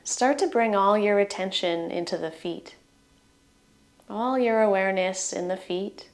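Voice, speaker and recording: Slow-paced woman guiding meditation. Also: medium pitch. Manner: soothing, gentle instructions in short phrases with trailing ends. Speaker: meditation guide. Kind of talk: guided relaxation meditation.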